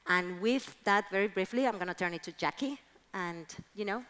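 A woman, moderating a panel on a conference stage and pausing on many fillers. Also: medium pitch, fairly bright tone, clean tone. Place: large conference hall stage. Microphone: headset mic.

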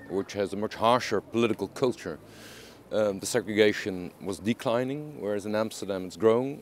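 Low-voiced man, thoughtful interview answers. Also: darkish tone. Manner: thoughtful, deliberate with fillers.

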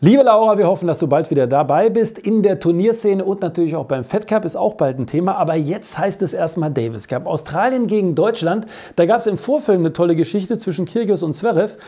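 Man, middle-aged, fairly high pitch, soft mellow tone, chatty show host, colloquial. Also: lively intonation, brisk, hardly any pauses. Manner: colloquial, jokey, addresses viewers directly. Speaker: host of a weekly tennis show. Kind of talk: chatty sports web show commentary. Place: small cluttered basement studio.

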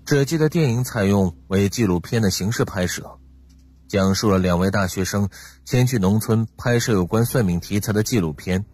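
A man playing a calm, calculating young man with a measured, courteous manner in an audiobook drama. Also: fairly low pitch, slightly rough, no room sound. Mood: pensive, grave.

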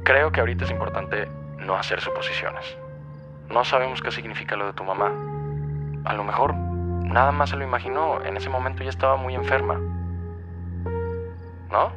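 A man's voice: medium pitch, normal speed, pauses often, over music, natural voice. A man playing a concerned, level-headed boyfriend in an audio drama, with a cautious, reassuring manner. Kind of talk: naturalistic audio drama acting.

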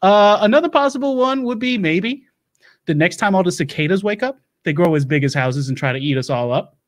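A man playing a rambling doomsday-prepper host, talking fast, stumbling over words and jumping into loud, high bursts. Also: lively intonation. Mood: manic rambling. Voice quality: rapid, stumbling, loud bursts, drawling asides. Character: rambling Louisiana doomsday-prepper man, manic, self-assured. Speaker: livestream show host. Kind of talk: improv character comedy.

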